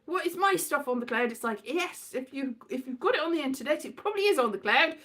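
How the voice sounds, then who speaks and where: A British woman telling casual, rambling comic anecdotes in an animated, theatrical way, acting out exchanges as she goes. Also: high-pitched, fast talker. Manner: animated, theatrical, acts out exchanges, breaks into sing-song. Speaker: solo host of an audio show.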